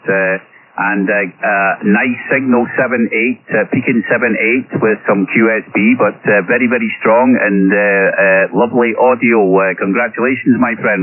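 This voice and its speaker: A man making a ham radio contact, speaking haltingly with many ums and repetitions over a radio transmission. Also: lowish voice, narrow, tinny audio. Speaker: amateur radio operator. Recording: phone-thin radio signal with clear static noise.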